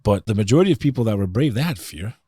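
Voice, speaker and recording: A man with a very deep voice and a New York accent, talking earnestly and bluntly in an interview with loose slang. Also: animated, rapid-fire, mellow, husky, no echo, clean studio sound. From United States. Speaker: guest. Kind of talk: casual interview talk.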